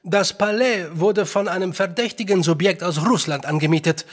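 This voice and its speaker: An actor playing a dutiful army captain with a Czech Bohemian accent, reporting formally and deferentially in an audio drama. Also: male voice, sits high, normal speed. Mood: deferential, official.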